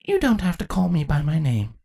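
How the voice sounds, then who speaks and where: A man voicing a weary former changeling queen, female, who speaks in a dry, measured, firm way for a storybook reading. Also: fairly high pitch, wildly swooping pitch, gravelly, dark tone, voice pushed up, heavily acted. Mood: gentle, resigned.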